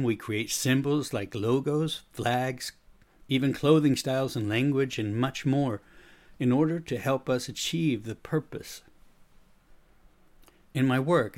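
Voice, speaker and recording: A man's voice: medium pitch, a little mellow. American man explaining, faintly Swedish-tinged. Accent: American with a slight Swedish tinge. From United States. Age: middle-aged. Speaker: podcast host, speaker and consultant. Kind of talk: prepared explanatory monologue.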